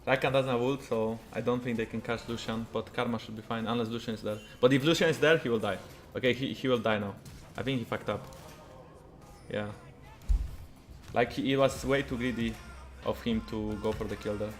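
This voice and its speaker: A man's voice: medium pitch, steady tone, fairly quick, soft background music. A man with a Polish accent giving casual live gameplay commentary as a streamer. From Poland. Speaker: video game streamer.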